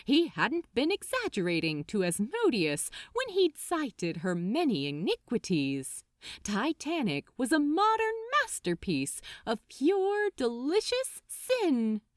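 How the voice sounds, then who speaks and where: Female audiobook narrator, higher voice, hugely varied intonation, dramatic deliberate delivery. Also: no echo, clean studio sound. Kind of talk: dramatic scripted story narration.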